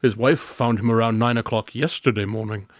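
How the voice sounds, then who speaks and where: A man's voice: fairly low pitch, steady tone, a little fast, dry recording, studio quality, close to an everyday voice. A man acting a shrewd, unflappable private detective in a modern radio drama, with a wry, knowing, teasing manner. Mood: smug, teasing.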